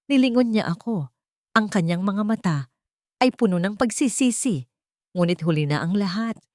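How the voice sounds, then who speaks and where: A woman reading a scripted first-person dramatic story in a measured, expressive way. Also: medium pitch, dry recording, studio quality. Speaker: audio story narrator.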